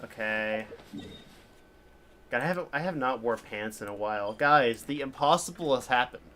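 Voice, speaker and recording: A young adult man giving casual live game commentary as a streamer, rambling haltingly and often trailing off. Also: mid-range voice, expressive, fairly bright tone, slight background noise. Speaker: game livestreamer. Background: game dialogue and ambience.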